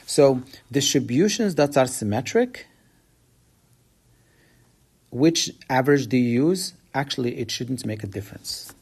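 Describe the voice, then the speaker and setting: A man's voice: animated, unhurried, long pauses, darkish tone, slightly rough. A man teaching a statistics lesson, explaining step by step and lifting his voice to stress key points. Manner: explanatory, rises in pitch to stress key words. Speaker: math teacher. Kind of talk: recorded statistics lecture.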